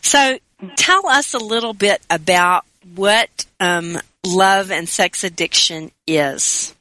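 Bright, lively female radio host. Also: mid-range voice, slow pace, no echo, poor audio. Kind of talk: radio interview.

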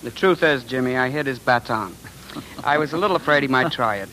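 Male guest for 1940s radio, sharp mid-range voice, scripted conversational delivery, slightly noisy old recording. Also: medium pitch, fairly quick. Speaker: radio orchestra conductor, guest. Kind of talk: scripted radio banter and tribute. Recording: faintly noisy vintage 1940s radio broadcast.

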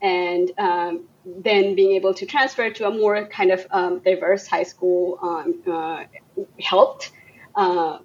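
A woman's voice: lowish voice, gravelly, highs cut off. A woman from Bangladesh, a guest on a casual talk show, speaking thoughtfully with many fillers and restarts. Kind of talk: casual podcast interview.

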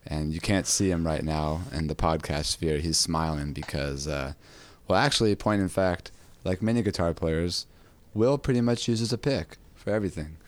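A man with a very low voice, roughened and raspy from a recent cold. He hosts a relaxed podcast conversation, drifting through his thoughts with frequent fillers. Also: moderate pace, no room sound. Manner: relaxed, rambling, with many fillers. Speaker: podcast host. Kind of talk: casual podcast chat about guitar.

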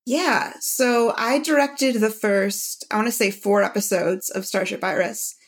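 A woman's voice: mid-range voice, steady tone, unhurried. A woman telling anecdotes in a casual interview, with a candid, self-deprecating manner. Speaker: podcast writer being interviewed.

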